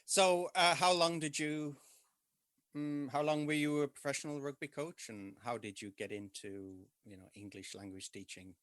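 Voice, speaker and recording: Male podcast host, somewhat high voice, dry close mic, halting questions. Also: fairly bright tone, dry recording. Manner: halting questions, frequent backchannel agreement. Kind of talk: conversational interview.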